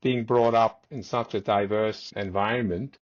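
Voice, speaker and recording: A man's voice: on the low side, fairly even intonation, measured pace, no echo, lo-fi sound. A man in his forties, raised in South Africa and a native Swiss German speaker, telling stories as a podcast guest, rambling with many restarts. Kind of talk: casual storytelling.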